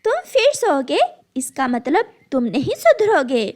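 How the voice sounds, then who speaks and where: A woman voicing a hardworking, indignant little female bird in a children's cartoon, earnest and quick to scold. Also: high voice, sing-song, darkish tone.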